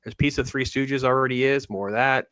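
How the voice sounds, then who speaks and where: A man's voice: medium pitch, fairly even intonation, fairly quick, fairly bright tone, smooth, dry recording, studio quality. A middle-aged man hosting a casual livestream chat, rambling conversationally in short, clipped sentences. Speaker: host of an online Star Wars fan show. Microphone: online call on a livestream, camera off.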